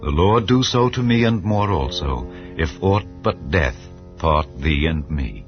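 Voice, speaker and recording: A man's voice: a bass voice, animated, heavily acted. A man reading as steadfast young widow Ruth. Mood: devoted. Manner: slow, measured vow-like delivery. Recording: slightly dull, soft music behind.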